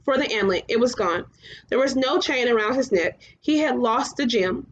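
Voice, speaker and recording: High-voiced woman reading a storybook aloud. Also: animated, slightly rough, highs cut off. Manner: halting, often stumbles and rereads phrases. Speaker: storybook reader. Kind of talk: read-aloud children's fantasy narration.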